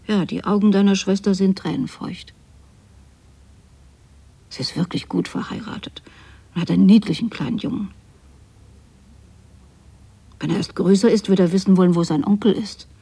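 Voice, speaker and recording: Husky, creaky lonely mother. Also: mid-range voice, steady tone, moderate pace, long pauses, mellow. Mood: wistful longing. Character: lonely, yearning middle-aged or older mother of grown children. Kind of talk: literary radio drama monologue.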